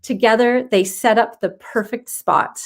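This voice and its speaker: A woman reading a children's story aloud as narrator, in an expressive style. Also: on the high side, measured pace, vocal fry.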